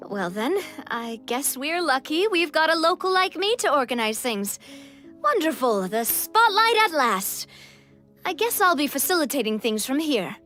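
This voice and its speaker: High, bubbly, theatrical showgirl. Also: very animated, unhurried, sharp tone, soft background music, exaggerated voice. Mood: showy. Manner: showy, playful, chatty. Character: bubbly, theatrical young woman who loves the spotlight. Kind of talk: video game voice acting.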